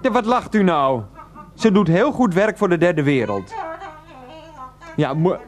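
An actor playing an exasperated middle-aged husband and son in a radio play, flustered, repetitive and placating. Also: male voice, sits high, sing-song, a little slow. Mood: protesting.

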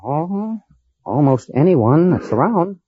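A man's voice: a little high, big pitch swings, slow pace, slightly rough, dry recording. A man speaking informally and plainly as a quiz show contestant. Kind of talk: plain-spoken, informal answers and anecdote. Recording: noisy dull radio recording, loud crowd. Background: studio audience.

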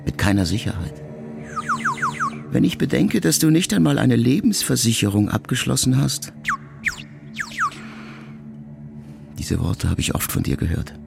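A man acting in a literary radio play as a grown, middle-aged son who quietly and introspectively addresses his mother in measured phrases. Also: mid-range voice, very animated, creaky. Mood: questioning, faintly reproachful. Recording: music behind the voice. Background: music.

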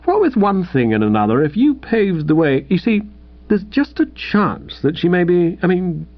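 Man putting on a sheepish young gentleman, hesitant, stammering, lively swooping pitch, dull lo-fi sound. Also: normal speed, dry recording. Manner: hesitant, stammering, full of fillers. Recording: slightly dull lo-fi recording.

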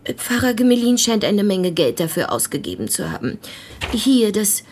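A woman's voice: medium pitch, sing-song, normal speed, a little mellow, a little hiss or hum, lightly acted. A woman playing a wry, tart parish deaconess, a pointed and somewhat evasive witness in a radio play. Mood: evasive, earnest.

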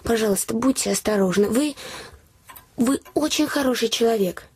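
A woman's voice: on the high side, normal speed, darkish tone, breathy edge. A woman playing an earnest, chatty young innkeeper's daughter, confiding and artless, in a fairy-tale radio play. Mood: earnest, worried.

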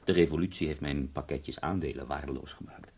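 An actor playing a dying, bedridden poet in a radio play, theatrical and wry, complaining with witty self-pity. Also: male voice, very deep voice, fairly quick, a little mellow, husky, exaggerated voice.

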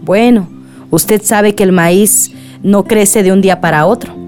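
A woman's voice: mid-range voice, measured pace, a little mellow, soft background music, lightly acted. An actress playing a patient, hopeful village woman in a radio drama, earnest and respectfully persuasive. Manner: earnest, coaxing.

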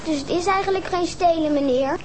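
A woman's voice: extremely high pitch, brisk. A performer playing a young girl caught taking sweets, talking timidly and pleading. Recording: TV sound with background noise.

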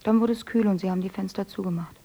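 An actress playing a young woman on a farm, retelling events in a hushed voice and softly musing to herself. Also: medium pitch, steady tone, rapid-fire, gravelly. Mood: hushed report. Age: young adult. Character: clever young woman, the miller's adopted daughter.

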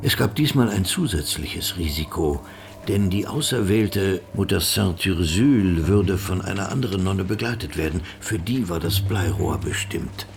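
An actor narrating a sombre crime story in a scripted literary style for a radio play. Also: male voice, low-pitched, lively intonation, moderate pace, vocal fry, a little hiss or hum. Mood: tense, sombre.

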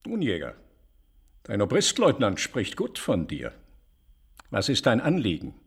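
An actor playing a high-ranking, condescending general with an authoritative manner in a radio play. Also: male voice, huge pitch swings, unhurried, slightly rough, heavily acted. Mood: curt, measured. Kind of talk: German radio play acting.

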